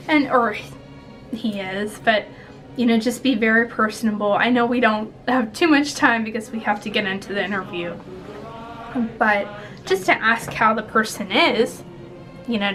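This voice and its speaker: A woman's voice: medium pitch, rough recording. A woman, chatting casually to the camera and giving tips in a rambling, giggly way. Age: 20s. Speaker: vlogger giving interview tips. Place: small indoor room at home.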